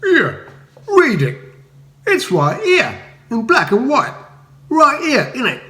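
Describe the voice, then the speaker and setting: Storybook Cockney rabble-rouser played by a male reader: high, indignant, heckling, in a roomy echo. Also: huge pitch swings, slow, pushed and bright, exaggerated voice. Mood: furious. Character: rowdy angry Cockney volunteers, loud indignant commoners.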